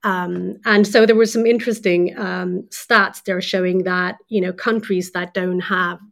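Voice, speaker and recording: A woman's voice: on the low side, fairly even intonation, measured pace, gravelly, fairly bright tone. A woman from Sweden, a native Swedish speaker, explaining ideas as a guest author in a casual podcast chat with frequent fillers. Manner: thoughtful, explains with hesitant fillers.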